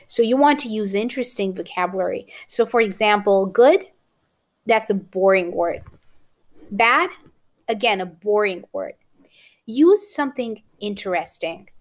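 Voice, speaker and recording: A woman's voice: medium pitch, lively intonation, unhurried. A woman with a Romanian accent teaching an exam-prep lesson to camera, encouraging and often checking in with "okay?". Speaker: English teacher.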